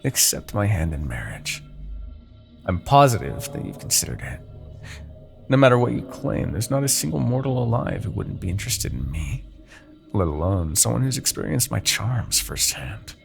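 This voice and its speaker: A man playing a haughty, seductive demon king in a fantasy audio roleplay, persuading with silky condescension, dramatic pauses and a gravelly voice. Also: very animated, faint music behind, heavily acted. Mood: smug, coaxing. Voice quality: gravelly, creaky low voice. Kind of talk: fantasy ASMR roleplay monologue.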